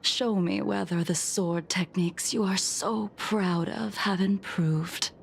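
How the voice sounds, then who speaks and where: An actress playing a calm, haughty swordswoman in a video game, speaking in a measured, coolly mocking way. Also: medium pitch, gravelly, slight background noise. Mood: calm, taunting. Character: calm, haughty, battle-loving swordswoman, taunting and unhurried. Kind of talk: scripted video game dialogue. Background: faint game music.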